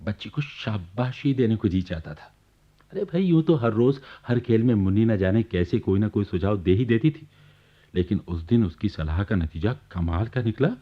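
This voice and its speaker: An actor playing a genial, wry government officer laid up in bed, confiding in the listener as a radio play narrator. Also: male voice, on the low side, expressive, normal speed. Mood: fond, amused. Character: genial bedridden government officer recounting his neighbours' life. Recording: dull archival radio recording.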